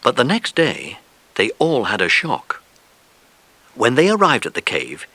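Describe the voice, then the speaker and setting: A man's voice: medium pitch, sharp tone, no echo. A man reading scripted narration for a children's adventure audiobook.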